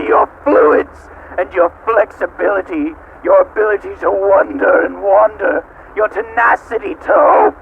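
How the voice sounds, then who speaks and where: A man playing a sadistic, hate-consumed supercomputer that mocks like a cruel god, in a theatrical, menacing monologue with drawn-out words, as an amateur voice acting reading. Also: very high-pitched, gravelly, pitched above the performer's own voice, full character voice. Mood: raging, manic. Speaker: amateur voice actor. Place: at home. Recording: dull lo-fi home recording, faint noise.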